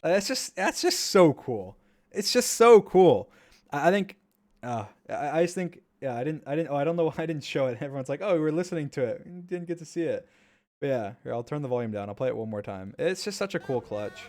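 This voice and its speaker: A man's voice: fairly high pitch, lively intonation, brisk, a little mellow. A man casually commenting on his own gameplay clips during a stream, rambling and repeating himself with enthusiasm.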